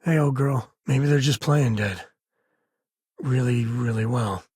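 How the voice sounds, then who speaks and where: A man's voice: mid-range voice, slow, a little mellow, husky, dry recording, studio quality. An actor playing an easygoing, roguish middle-aged space cowboy and devoted father in a video game, with folksy, wry, laid-back phrasing. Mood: wry, teasing. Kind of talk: video game character dialogue.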